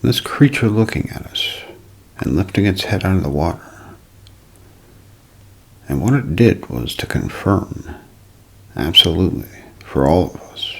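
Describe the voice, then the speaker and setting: A man with a deep voice narrates in the manner of an unsolved-mysteries show, made for ASMR. His delivery is slow and deliberate, rising and falling dramatically. The tone is thick with gravel and husk, often slipping toward a whisper. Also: big pitch swings. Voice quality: gravelly, husky, breaking into whisper.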